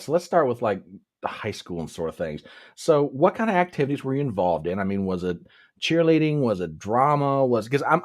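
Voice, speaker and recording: Lively man hosting a casual chat. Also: medium pitch. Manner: playful, teasing, lively questions. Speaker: podcast host.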